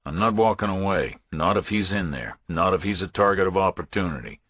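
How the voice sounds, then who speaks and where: A man voicing a stubborn, hard-boiled lone operative in an audiobook, terse, flat and resolute. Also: low-pitched, brisk, slightly rough, no echo, studio quality, heavily acted. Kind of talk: audiobook character dialogue.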